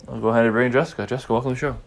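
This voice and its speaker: A man's voice: medium pitch, fairly even intonation, rapid-fire, vocal fry. A stuffy, congested man hosting a casual podcast recap, rambling and repeating himself as he thinks. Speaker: podcast host.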